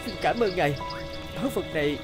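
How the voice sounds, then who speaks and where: A man's voice: fairly high pitch, animated, brisk, close to an everyday voice. A man playing a brave, kind-hearted young prince in a cartoon, speaking earnestly and politely. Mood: polite, concerned. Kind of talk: cartoon voice acting. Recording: music under the voice.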